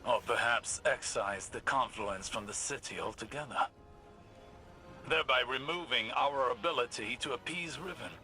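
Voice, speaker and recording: A man voicing a learned male sorcerer in a video game, speaking with formal, deliberate diction. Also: medium pitch, sharp tone, faint music behind. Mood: measured. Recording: game audio over ambience.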